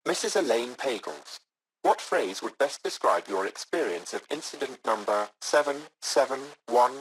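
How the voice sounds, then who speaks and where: An actor playing a courteous AI subroutine of a taxi company in a video game, speaking with formal, measured customer-service politeness. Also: male voice, mid-range voice, a bit bright, breathy edge, rough recording. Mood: official, courteous.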